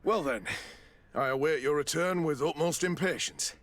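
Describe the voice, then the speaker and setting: A man playing an officious, evasive dignitary in a video game, formal and careful, with a gravelly, husky voice. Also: on the high side, moderate pace, a bit bright, natural voice. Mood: insistent. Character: officious, evasive male dignitary, smooth-tongued and insistent.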